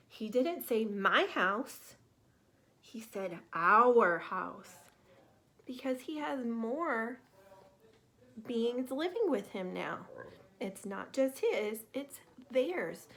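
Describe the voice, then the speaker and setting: A woman reading a children's storybook aloud in an expressive, careful way, pausing to explain things to young listeners. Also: fairly high pitch. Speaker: teacher reading a picture book to children.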